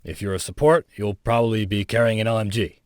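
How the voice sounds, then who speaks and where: An actor playing a brusque, foul-mouthed military firearms instructor in a video game, giving blunt, commanding orders. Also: male voice, lowish voice, steady tone, fast talker, clean tone, no room sound, clean studio sound, natural voice. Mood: businesslike, instructive. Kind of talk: scripted game voice lines, instructional and barked commands.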